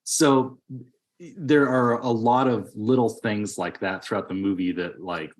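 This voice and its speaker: A man's voice: on the low side, unhurried. A man co-hosting a movie podcast, talking casually and conversationally about films. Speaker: podcast co-host reviewing movies. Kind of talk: casual film discussion.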